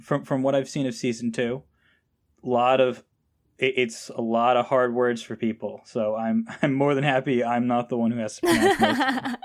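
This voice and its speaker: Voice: mid-range voice, expressive, moderate pace. An easygoing podcast guest chatting casually in a hesitant, self-deprecating way. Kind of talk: casual chat.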